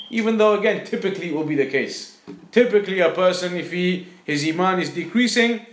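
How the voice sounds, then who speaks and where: High-pitched male religious teacher, echoey room. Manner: didactic, repeats phrases for emphasis. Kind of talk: explanatory lesson, spoken off the cuff.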